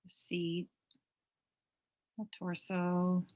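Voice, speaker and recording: Slow, low-pitched woman guiding meditation. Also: completely flat delivery. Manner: gentle, unhurried, with long pauses. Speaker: mindfulness meditation teacher. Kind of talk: guided meditation.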